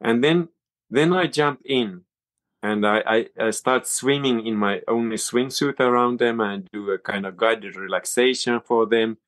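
A man with a Finland-Swedish accent and Swedish as his first language, a yoga and cold-water teacher talking as an interview guest, halting and restarting often. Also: medium pitch, a bit bright, dry recording. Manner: halting, many restarts and fillers. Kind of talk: casual interview talk.

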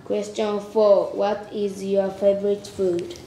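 A woman voicing an officious policeman puppet who questions formally and deliberately in an amateur scripted puppet show. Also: mid-range voice, flat delivery, mellow. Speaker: student puppeteer. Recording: roomy, echoey, noisy amateur recording. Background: noisy room ambience.

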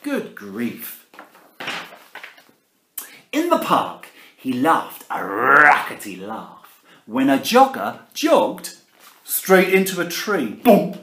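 Very animated Englishman reading a storybook. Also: medium pitch, extremely slow, pauses often. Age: middle-aged. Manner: theatrical, exaggerated emphasis, conversational asides. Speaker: primary school teacher reading a storybook. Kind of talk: animated picture-book reading with asides to children. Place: living room at home, indoors. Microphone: camera at about arm's length. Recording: echoey room.

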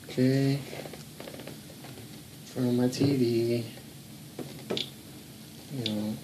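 A man's voice: medium pitch, fairly even intonation, very slow, noisy background. A man filming at home, talking in a blunt, earnest way and swearing now and then. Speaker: home video blogger. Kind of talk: casual filmed commentary. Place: home room.

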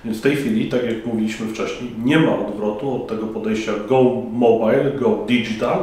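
A man's voice: on the low side, steady tone, darkish tone. Formal middle-aged man in echoey hall. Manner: measured, formal and explanatory. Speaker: conference panel moderator. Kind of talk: formal interview answers. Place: conference hall by a banner. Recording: echoey room from far off.

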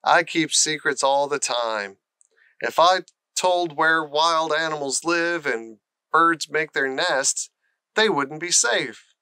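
A man's voice: a little high, slow pace, bright, forceful tone, smooth, dry recording. A man voicing a friendly, eager country boy who loves animals in a storybook reading.

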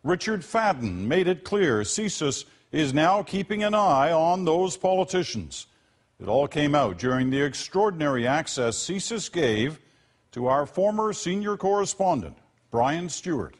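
A man's voice: a little high, sing-song, unhurried. An older man reading a news report as anchor in a TV studio.

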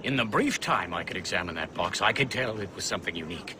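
An actor playing an excitable elderly gentleman inventor in a game cutscene, polite and eager. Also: sits low, fast, noisy background. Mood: genial. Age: older. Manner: genial, eager, a little fussy. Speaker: scientist. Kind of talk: video game cutscene acting.

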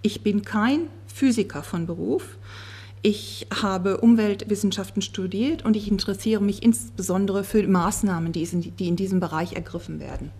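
A woman working as a conference interpreter, turning a speaker's words into steady, fluent speech at a table microphone in a conference room. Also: mid-range voice, normal speed, husky. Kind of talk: consecutive interpreting.

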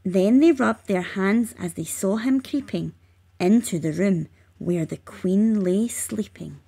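Slow, measured woman reading a storybook. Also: medium pitch, gravelly, mellow, breathy edge. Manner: measured, emphatic on sound words. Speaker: storybook reader. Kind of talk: expressive read-aloud rhyming children's narration.

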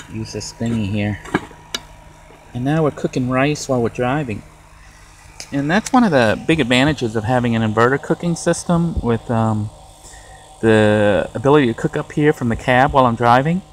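A man talks to his camera from the cab of a moving van, easygoing and rambling, often trailing into ums. His voice sits at an ordinary middle pitch. A faint hum of the road runs underneath. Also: rough recording. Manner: relaxed and rambling, many ums. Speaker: van-dwelling vlogger. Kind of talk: casual vlog narration while driving. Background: vehicle road noise.

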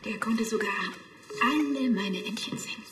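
A woman's voice: on the high side, normal speed, echoey room, a little hiss or hum, natural voice. An actress playing a scatterbrained, fussy woman in her seventies in a TV crime comedy, rambling and hesitant. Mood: dreamy. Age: 70s. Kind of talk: naturalistic TV crime comedy acting.

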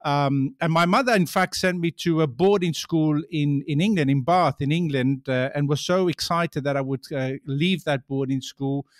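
A man's voice: on the high side, moderate pace, hardly any pauses, no echo, studio quality. A man with a South African accent hosting a podcast interview, chatty and full of fillers. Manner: chatty, warm-up host with many fillers. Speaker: podcast host.

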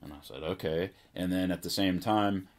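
Low-pitched middle-aged man telling stories. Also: normal speed. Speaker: musician telling his story. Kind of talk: casual storytelling chat. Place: small indoor music studio, seated at a table.

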